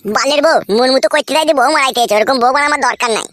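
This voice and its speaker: A man's voice: very high-pitched, rapid-fire, heavy background noise. A man voicing an aggrieved, sulky young married son in a comic cartoon, ranting crudely and argumentatively. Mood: angry, defiant. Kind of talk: comic cartoon dialogue in village family quarrel.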